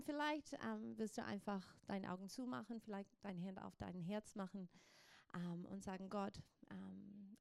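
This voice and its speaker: An Australian woman in her thirties preaches in German, a language she learned, with English as her first language. She talks in a chatty way to the congregation and full of hesitations, then drops into soft, slow prayer. Her voice is close and dry, at a middle pitch. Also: vocal fry, no room sound. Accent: Australian, speaking German as a learned language. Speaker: pastor, guest speaker. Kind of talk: casual sermon and prayer to a congregation.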